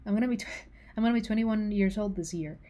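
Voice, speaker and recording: A woman's voice: mid-range voice, fast talker, mellow. A woman in her twenties chatting casually with her livestream viewers, teasing and full of filler words. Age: 20s. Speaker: animator streaming to chat.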